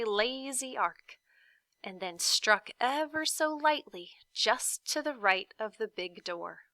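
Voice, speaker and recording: A woman reading a children's storybook aloud as a teacher, expressive and dramatic, with swells and hushes. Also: medium pitch, dry recording.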